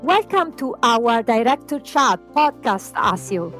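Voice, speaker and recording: A woman hosting a podcast, giving a formal, measured introduction read from notes. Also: on the high side, big pitch swings, a bit bright, faint music behind. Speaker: podcast host. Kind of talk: scripted introduction and background narration.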